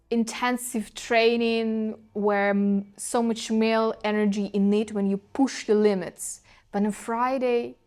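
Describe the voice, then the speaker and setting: A young woman with a Ukrainian accent talks through her week in a casual, reflective vlog. She searches for words and restarts her phrases in a soft, dreamy way. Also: medium pitch. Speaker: vlogger.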